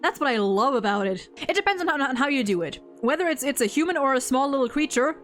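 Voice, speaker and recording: A woman talking casually about a game she plays, in an animated, gushing manner and acting out quotes as she goes. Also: high voice, fast talker, soft background music. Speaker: co-host ranking game story quests. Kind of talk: casual gaming chat.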